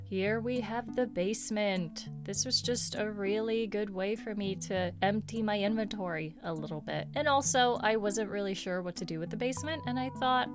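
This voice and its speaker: Chatty woman touring her game island. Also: mid-range voice. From Swedish heritage. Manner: chatty, playful, self-deprecating asides. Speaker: game island tour host. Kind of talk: casual narrated game walkthrough.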